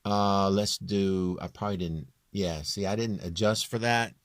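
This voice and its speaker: A man with a low, near-bass voice talking through a home music production tutorial in a halting way, thinking aloud as he works. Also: steady tone, no room sound, clean studio sound. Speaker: home musician demonstrating his vocal production. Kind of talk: informal screen tutorial.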